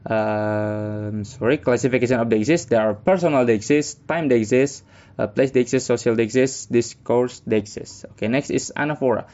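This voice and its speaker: Halting man presenting in class. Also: medium pitch, warm tone. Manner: halting, many fillers and restarts, reading notes. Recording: dull lo-fi recording.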